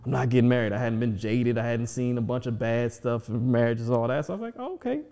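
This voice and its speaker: A man's voice: mid-range voice, expressive, quick pace, dark tone. Reflective man in his forties. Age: 40s. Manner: reflective, many restarts and fillers. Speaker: guest. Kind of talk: casual conversation.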